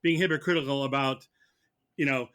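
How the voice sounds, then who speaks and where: A man arguing as a mock prosecutor in a podcast debate, halting and repeating words as he presses his point. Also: medium pitch, fairly even intonation, a bit bright, smooth. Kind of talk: comic debate on a film podcast.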